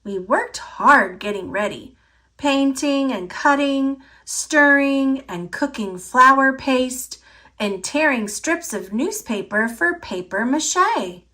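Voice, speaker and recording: A woman reading a picture book aloud for children, with deliberate, clearly phrased, expressive narration. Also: high-pitched.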